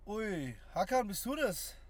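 An actor playing a talkative, caring taxi driver under strain in a radio drama, informal and halting when uneasy. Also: male voice, sits high, sing-song, no echo, full character voice. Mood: weary. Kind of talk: naturalistic radio drama acting. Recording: clear car and traffic noise. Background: vehicle ambience.

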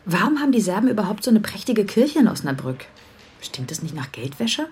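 A woman's voice: mid-range voice, very animated, fairly quick, darkish tone, roomy sound, slight background noise, close to an everyday voice. A woman playing a keen, sceptical police investigator in a German radio crime drama.

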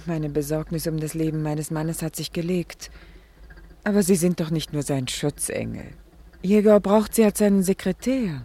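An actress playing a governor's genteel, anxious middle-aged wife in a German radio play, with polite, refined phrasing. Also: female voice, low-pitched, warm tone.

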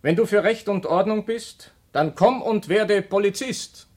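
A man's voice: high-pitched, measured pace, a little mellow. An actor playing an earnest, dutiful rookie policeman in his twenties with a Viennese accent in a radio play.

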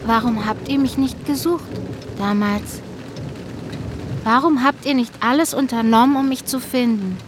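A woman's voice: on the high side, measured pace, background noise, lightly acted. An actress playing a young woman, a long-lost sister turned up as a stranger, hesitant and intense, in naturalistic radio drama style. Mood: reproachful. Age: young adult. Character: long-lost abducted younger sister posing as drenched lost hiker.

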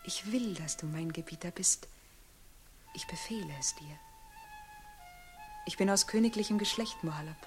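Proud lovesick princess, swooping and breathy. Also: female voice, medium pitch, wildly swooping pitch, normal speed, long pauses. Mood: proud, commanding. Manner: commanding, intimate, often whispering. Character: proud royal princess, imperious, passionately in love. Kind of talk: classic German radio drama acting. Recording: radio play with music behind.

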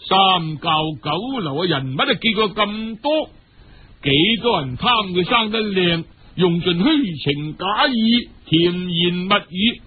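A man's voice: high-pitched, phone-quality sound, a little hiss or hum. A man with a Cantonese accent telling a story in a colloquial, theatrical storytelling style. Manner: theatrical, colloquial, uses many sentence particles.

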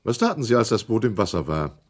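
A man's voice: lowish voice, sing-song, fast, a little mellow. An actor in a German radio documentary drama playing a stern, sceptical inquiry chairman who questions formally, with authority and challenge. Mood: sceptical, challenging.

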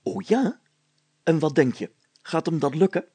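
A man's voice: on the high side, expressive, unhurried, mellow, husky, no room sound, pitched above the performer's own voice. A man voicing a blustering, bossy village mayor in a children's story, hearty and commanding. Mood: curious.

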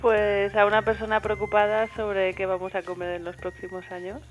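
A woman researcher speaking as a phone guest on a radio show, answering in a measured way. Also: mid-range voice, monotone. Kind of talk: phone interview. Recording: phone call, faint music.

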